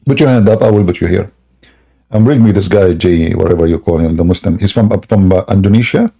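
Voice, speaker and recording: A man hosting a live debate stream in a scoffing, jokey way, laughing often and clearing a sore throat. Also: low voice, a little fast, warm tone. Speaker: livestream debate host. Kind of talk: mocking live debate chat.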